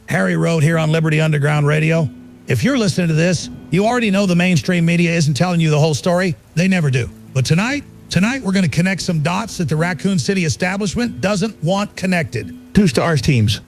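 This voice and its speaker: A man playing a fiery, suspicious conspiracy host on underground radio, delivering an urgent monologue in punchy rhetorical questions. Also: high voice. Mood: indignant. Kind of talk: dramatic pirate radio monologue.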